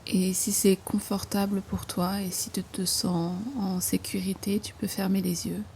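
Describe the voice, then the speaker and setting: Very slow, mellow woman guiding meditation. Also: mid-range voice, level tone, slight background noise. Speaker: yoga nidra guide. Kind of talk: guided meditation, slow soothing instruction.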